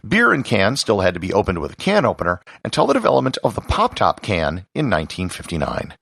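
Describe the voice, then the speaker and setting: An American man from Wisconsin hosting a podcast, delivering scripted educational narration in a husky, gravelly voice with measured, emphatic phrasing. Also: fairly low pitch, fairly bright tone, no room sound, clean studio sound. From Wisconsin, United States.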